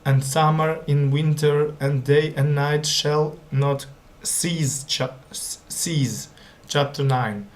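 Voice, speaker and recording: A male language learner reads scripture aloud at a slow pace. English is not his native language. He moves haltingly, often stumbling and going back over words. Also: a little high, steady tone. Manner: hesitant, stumbling, repeats words.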